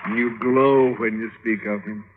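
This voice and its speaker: A man playing a calculating, deadly prince in a classic radio drama, with measured, probing delivery. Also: fairly low pitch, steady tone, close to an everyday voice. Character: grown son, calculating killer prince with probing calm. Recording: narrow-band old radio, faint noise.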